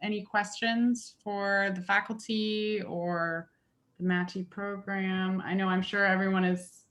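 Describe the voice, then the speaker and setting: A woman calmly inviting final questions on a video call. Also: medium pitch, a little slow, highs cut off. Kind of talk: unscripted remarks on a video call.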